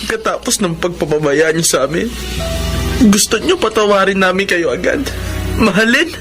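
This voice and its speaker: An actor playing a hurt, resentful young Filipino son in a radio drama. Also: male voice, sits high, animated, moderate pace. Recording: dramatic music bed.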